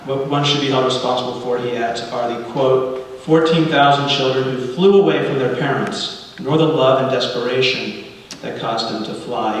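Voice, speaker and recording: A middle-aged man from Cuba, a native Spanish speaker, giving a sermon-like address in an earnest, deliberate way with pauses between phrases. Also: medium pitch. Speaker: guest speaker leading a congregational service. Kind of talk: sermon-like public address with readings. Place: large reverberant indoor hall, speaking to a congregation. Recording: very echoey hall, distant mic, light noise.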